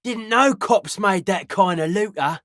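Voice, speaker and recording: A woman's voice: medium pitch, a little slow, a bit bright, no echo, natural voice. Woman as a cryptic, earnest young raver psychic, dry recording. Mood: cool, appraising. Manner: slangy, cryptic, matter-of-fact. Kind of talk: video game character dialogue.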